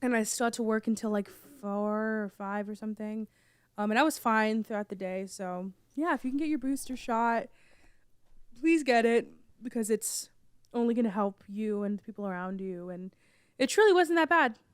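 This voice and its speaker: A young woman, still hoarse from being sick, chats casually on a podcast and coughs now and then. She rambles through fillers and restarts. Her voice comes through smooth and close, with no room sound. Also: a little high, normal speed, no echo. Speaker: podcast co-host. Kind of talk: casual chat.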